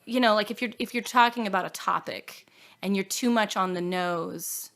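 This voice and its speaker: A woman songwriter talks as a guest in a reflective interview. Her voice sits at an ordinary middle pitch. She is earnest and halting, circling back and leaving phrases unfinished. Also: creaky, fairly bright tone. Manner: earnest, halting, with self-quotes and trailing phrases.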